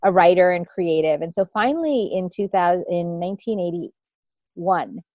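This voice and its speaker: A woman in her fifties from India tells her life story as an interview guest. Her voice sits a little low, and she winds through her memories with many restarts. The sound is close and dry. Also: normal speed, no room sound, dull audio. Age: 50s. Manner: reflective, rambling, with frequent restarts.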